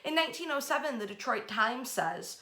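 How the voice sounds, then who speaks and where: A woman in her thirties hosting casual history storytelling to camera, with an emphatic, expressive delivery. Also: fairly high pitch, fairly quick. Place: bedroom, indoors. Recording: roomy, echoey indoor sound.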